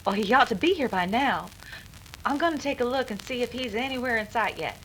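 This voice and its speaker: A woman's voice: on the high side, expressive, brisk, heavy background noise, natural voice. A woman playing a spirited, earnest young rancher's daughter, breathless and melodramatic, in an old western radio drama. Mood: shy, eager. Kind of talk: 1930s western radio acting.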